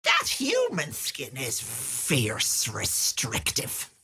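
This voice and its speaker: An actress voicing a wicked old hag with a raspy, cackling voice, taunting with sugary pet names. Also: female voice, a bass voice, wildly swooping pitch, drawn-out words, pushed and bright, heavily acted. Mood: menacing, teasing. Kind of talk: video game voice acting.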